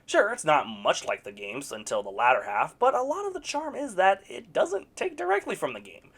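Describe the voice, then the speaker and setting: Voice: medium pitch, wildly swooping pitch, normal speed, fairly bright tone, breathy edge. A movie reviewer giving a casual, opinionated critique, sarcastic and blunt, with occasional swearing.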